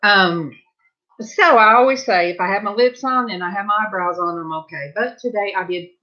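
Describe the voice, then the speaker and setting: A woman's voice: mid-range voice, moderate pace, muffled recording. A middle-aged woman with a Southern US accent, chatting casually at home and rambling to her viewers while she paints. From Southern California. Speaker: vlogger. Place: home, indoors.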